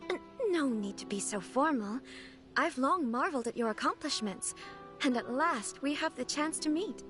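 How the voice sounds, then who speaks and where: A woman's voice: high-pitched, animated, normal speed. A woman playing a gracious, shy young noble lady in a video game, polite and a little hesitant. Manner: polite, formal, slightly hesitant. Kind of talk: video game character acting. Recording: game music behind.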